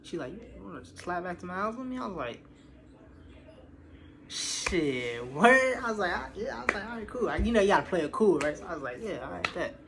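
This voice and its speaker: A man's voice: high-pitched, brisk, a crowd in the background. A young American man casually telling a personal story in slangy, expletive-heavy speech, acting out what people said. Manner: animated slangy retelling with acted-out quotes, swearing freely. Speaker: online storyteller. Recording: clearly noisy background.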